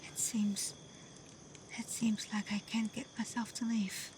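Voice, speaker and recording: A woman playing a gentle, devoted kitsune in love in an intimate ASMR roleplay, speaking tenderly and hesitantly, often dropping to a breathy whisper. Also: a little high, flat delivery, a little slow, lightly acted. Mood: tender, torn. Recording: faint cricket and night insect ambience.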